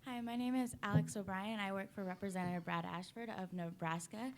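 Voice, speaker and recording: A woman's voice: medium pitch, steady tone, a little fast, a little hiss or hum. A young woman, an intern, introducing herself and beginning a question in a hesitant, chatty way. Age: young adult.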